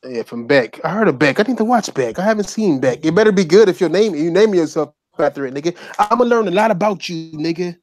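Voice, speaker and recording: Brief: male podcast host, a touch high, animated, dry close mic, crude banter. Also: fast talker, few pauses, dry recording. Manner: loud, profane, joking banter. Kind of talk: casual group chat.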